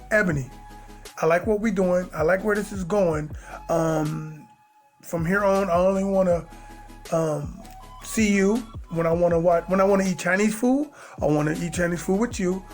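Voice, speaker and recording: A man's voice: sits high, moderate pace, faint music behind. A man co-hosting a podcast, talking in a playful, teasing way with streetwise slang. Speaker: podcast co-host. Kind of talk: casual chat.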